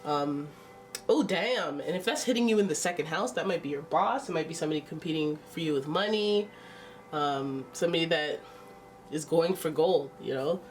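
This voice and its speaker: A woman's voice: sits low, normal speed, recorded from a distance, soft background music. A woman with a stuffy nose giving a casual, chatty tarot reading, exclaiming and thinking aloud as she turns the cards. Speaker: tarot reader.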